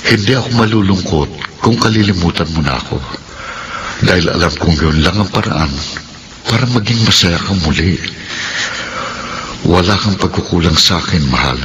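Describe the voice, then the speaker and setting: A deep-voiced husband appearing in a dream speaks calmly and soothingly, and his voice rises and falls with feeling. Clear hiss runs under this radio drama recording. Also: normal speed, long pauses. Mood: gentle. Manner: calm, soothing and reassuring. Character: dead young husband in a dream, gentle and loving.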